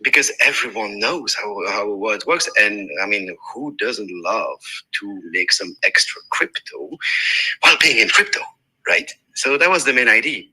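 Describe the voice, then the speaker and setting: A man with a French accent, a native French speaker, talking in a halting way with many restarts in a casual online group chat. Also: lowish voice, expressive, pushed and bright, breathy edge, low-quality recording. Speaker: guest speaker. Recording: online audio space.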